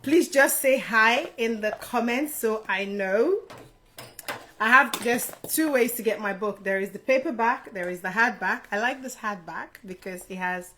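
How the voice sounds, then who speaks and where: A woman's voice: a little high, unhurried, sharp tone, a little hiss or hum. Chatty woman hosting a casual livestream. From Nigeria. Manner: chatty, informal, directly addressing viewers. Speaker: live stream host, HR career adviser. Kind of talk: casual live stream Q&A chat to viewers.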